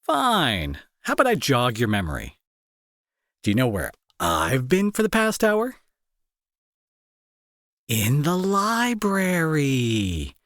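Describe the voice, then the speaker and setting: An irritable young male bully, acted by a man, speaks in a slow, dramatic delivery that swings widely in pitch. He is sarcastic and scolding with worry underneath, in a dry, close audio-drama recording. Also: huge pitch swings, a bit bright, no echo, heavily acted. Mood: irritated, demanding. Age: young adult. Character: irritable young male bully, gruff but secretly worried. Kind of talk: intimate roleplay audio drama acting.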